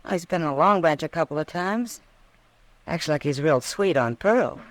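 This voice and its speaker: An American actress playing a frank, worldly saloon woman of the Old West in a radio drama, familiar and expressive. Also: sits low, moderate pace. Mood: surprised, frank. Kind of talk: 1950s radio drama acting.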